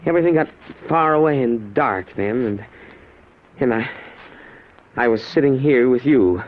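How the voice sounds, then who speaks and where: A man acting in a 1950s radio drama as an earnest, awed young man, with halting, stammering, breathless delivery. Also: medium pitch, close to an everyday voice. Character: earnest, awed young man, time-travel experiment subject. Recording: dull vintage radio broadcast recording.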